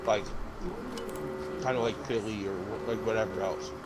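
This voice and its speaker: A man's voice: lowish voice, fairly even intonation, normal speed. A man from Scotland chatting casually while gaming, sweary and repetitive as he rambles through stories, with game sounds behind him. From Edinburgh, Scotland. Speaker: game streamer. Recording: game noise behind voice chat. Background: shooter game sounds.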